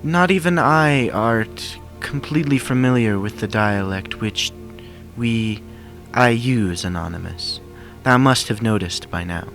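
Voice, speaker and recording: A man's voice: mid-range voice, a little slow, fairly bright tone, pitched above the performer's own voice. A man voicing a regal, earnest pony princess in a fan story reading, with awkward, archaic, formal speech. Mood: hesitant, apologetic. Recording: music behind the voice.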